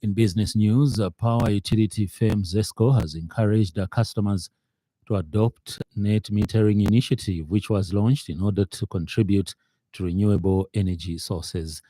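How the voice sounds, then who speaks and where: A man with a Zambian accent reading a scripted radio news bulletin in a measured, formal, slightly hesitant way. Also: on the low side, fairly even intonation, mellow, no echo. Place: radio studio.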